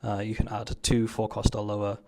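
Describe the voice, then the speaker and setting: A man's voice: lowish voice, completely flat delivery, fairly quick, a little mellow. A man giving casual, informal voice-over commentary on a card game he is playing, explaining moves in slangy language. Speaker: card game player commentating his own match.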